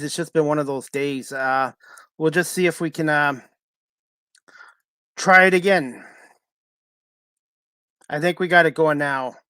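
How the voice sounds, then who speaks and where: A man hosts a casual live sports show. His delivery is halting and hesitant, and the sound is dry, with little room around the voice. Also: a little high, bright, forceful tone, no room sound. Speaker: podcast host. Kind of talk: casual live sports talk with scripted-sounding news updates.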